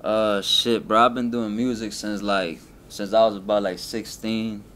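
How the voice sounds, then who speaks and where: A young man with a Colombian-Spanish accent, from Colombia and raised in Miami, talking about his life as a rapper in a casual interview, laid-back and halting with many restarts. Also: fairly low pitch, level tone, a bit bright, slight background noise.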